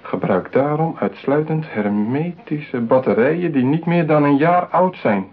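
A man's voice: fairly high pitch, animated, a little slow. An actor in a radio play playing a lonely, possessive man besotted with his mechanical bride, talking theatrically. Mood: anxious reading. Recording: tinny narrow-band radio play, clear hiss.

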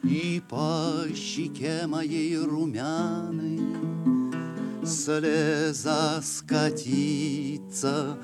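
An actor playing a reluctant, deferential drinking companion in an audio play, apologetic and pleading in manner. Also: male voice, on the high side, little pitch movement, drawn-out words, over loud music. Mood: sentimental singing. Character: deferential, reluctant drinking companion who sings romances to guitar. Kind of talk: theatrical audio play of a classic short story.

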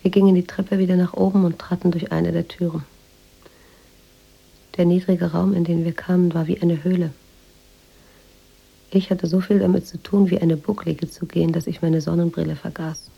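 A woman's voice: on the low side, flat delivery, moderate pace, pauses often, muffled recording. An actress playing a weary, introspective woman writer who narrates a radio drama in a subdued, reflective way. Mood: pensive, uneasy. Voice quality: husky, creaky.

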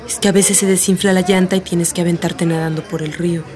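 A woman's voice: lowish voice, steady tone, fast, darkish tone, natural voice. A woman with a Mexican accent acting in a radio play as a streetwise, protective schoolteacher, plain-spoken and matter-of-fact. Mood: reassuring, practical. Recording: music bed behind the voice.

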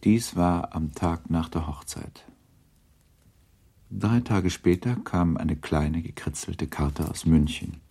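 A man narrates a literary radio play in an even, storytelling way. His voice is low and dark, with a husky grain. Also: slow.

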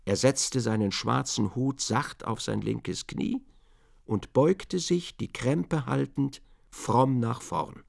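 A man narrates a literary radio drama. His medium-pitched voice has a strong creak, and his reading is measured and precise.

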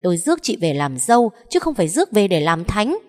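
A woman's voice: medium pitch, sing-song, fast talker, long reverb tail, lightly acted. A woman voicing a spiteful older mother-in-law in a storybook, screeching and scolding. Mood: shrill scolding.

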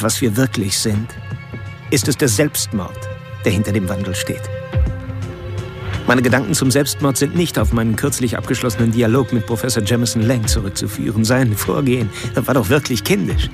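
An actor playing a self-absorbed serial killer who delivers solemn, pompous philosophical monologues in a radio drama. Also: male voice, medium pitch, natural voice.

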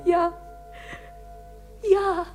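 A woman's voice: very high voice, little pitch movement, extremely slow, heavily acted. An actress playing a romantic, coy young woman in love, murmuring breathily in a 1950s German radio play. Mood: tender, teasing. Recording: vintage 1950s radio recording, music.

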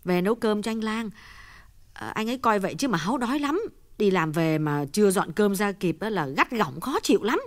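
A man voicing a distraught young wife in a high, fretful falsetto. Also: lively intonation, fairly quick, fairly bright tone. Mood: weary. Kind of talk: audiobook character voice.

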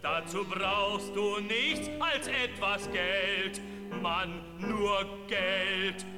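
An actor playing a rousing, cynical gold-rush balladeer, half singing and half declaiming over music in a reverberant space. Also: male voice, sits high, extremely slow. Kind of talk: theatrical sung ballad with declaimed verses. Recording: long reverberant hall sound. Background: loud musical accompaniment.

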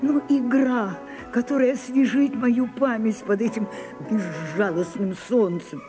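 An actress playing an aging, imperious stage star in a radio play, with grand, theatrical, coaxing delivery. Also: female voice, fairly high pitch, measured pace, music in the background, natural voice. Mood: coaxing, insistent. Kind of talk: radio drama acting.

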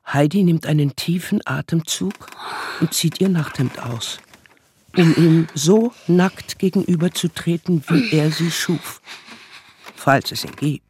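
A man narrating a radio play as storyteller of a child's magical world, with measured, dramatic phrasing. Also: fairly high pitch, a little mellow, slight background noise, lightly acted. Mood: storytelling.

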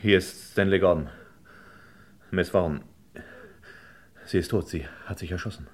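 A man acting a determined, accusing figure in a radio crime drama, measured, formal and probing. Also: low voice, darkish tone. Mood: grave. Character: determined, accusing son seeking truth, controlled and probing.

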